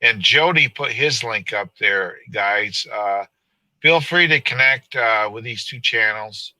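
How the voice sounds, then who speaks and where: A man's voice: fairly low pitch, slow pace, bright, forceful tone, no echo. A man hosting a casual live stream, rambling and joking with his viewers and a co-host. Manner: rambling, joking, laughs often.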